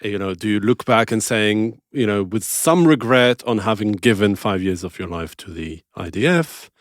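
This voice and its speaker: Low-voiced interviewer, dry sound. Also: male voice, no room sound. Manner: halting, rephrasing questions. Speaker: podcast interviewer. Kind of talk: interview questions.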